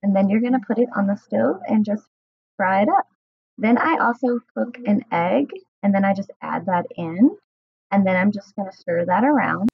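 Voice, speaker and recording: A woman's voice: medium pitch, gravelly, husky, no room sound, phone-quality sound. A woman in her thirties, a home cooking vlogger, talking casually through her meal prep with a chatty, enthusiastic manner and plenty of ums. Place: home kitchen, indoors.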